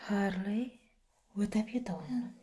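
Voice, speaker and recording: A woman voicing a stern but fond villainess boss in a soft, composed ASMR roleplay whisper. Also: mid-range voice, natural voice. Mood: cool reproach. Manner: slow, composed, reproachful.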